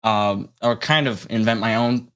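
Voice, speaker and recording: A young adult man chatting casually as an interview guest, with a halting, rambling delivery. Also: mid-range voice, a bit bright. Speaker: guest, solo electronic musician.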